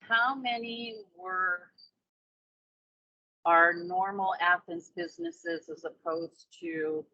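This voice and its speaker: A woman's voice: lowish voice, slow pace, pushed and bright, slight background noise. A woman who is a business association member, speaking informally in a meeting on a muffled recording.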